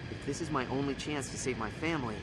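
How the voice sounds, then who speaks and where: An actor playing a young, loyal, eager alien hero in a video game, casual and spirited. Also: male voice, medium pitch, fast talker, echoey room, in a large hall, over music, natural voice. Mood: eager, concerned. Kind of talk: video game cutscene acting.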